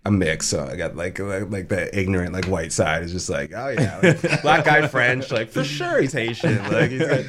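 A man's voice: on the low side, animated, normal speed, few pauses, noisy background. A man co-hosting a podcast, easygoing and self-mocking, often restarting his sentences. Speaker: podcast co-host. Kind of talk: casual podcast chat.

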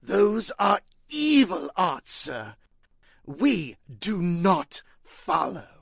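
A man playing a pompous, evasive spiritualist leader in a 1940s-style radio drama, speaking formally and haltingly. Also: high voice, sing-song, vocal fry, fairly bright tone, dry recording, clean studio sound, heavily acted. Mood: evasive, offended.

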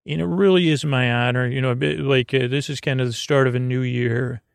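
A man hosting a sleep podcast with a rambling, improvised monologue, halting and meandering through tangents with whimsical, gently silly wordplay. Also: mid-range voice, fairly even intonation, creaky, clear, resonant tone, dry recording, studio quality. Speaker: host of a bedtime sleep podcast.

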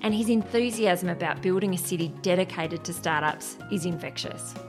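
A woman's voice: fairly low pitch, moderate pace, faint music behind. A woman hosting an interview podcast in a polished, presenter style, introducing and questioning guests.

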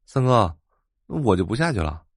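A man playing an eager, chatty young sidekick in an audio drama, excitable and deferential. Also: on the low side, normal speed, dry recording, studio quality.

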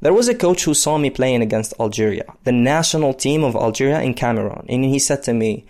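A man from the Democratic Republic of Congo, in his thirties, tells his own story. His voice sits in a middle register. He talks conversationally and often pauses on fillers. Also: medium pitch, lively intonation, darkish tone. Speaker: interviewee, basketball player. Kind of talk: personal storytelling.

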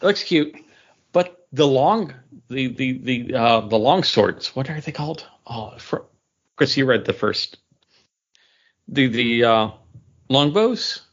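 A man's voice: mid-range voice, expressive, slow, pauses often, breathy edge, highs cut off. A man chatting casually as a podcast co-host, often acting out imagined quotes as he makes his points. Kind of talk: casual geek-culture chat.

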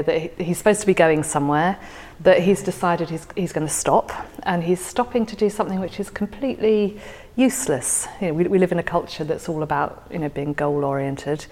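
A woman's voice: lowish voice, darkish tone, big-room sound. A woman talking reflectively about poetry as an interview guest, thoughtfully repeating words as she goes. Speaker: podcast guest discussing a favourite poem.

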